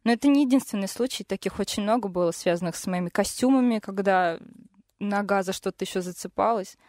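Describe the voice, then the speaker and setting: A woman's voice: medium pitch, fast, a little mellow, no echo. Young woman, singer, casual interview talk, hesitant, dry studio sound. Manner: hesitant, thoughtful, with fillers.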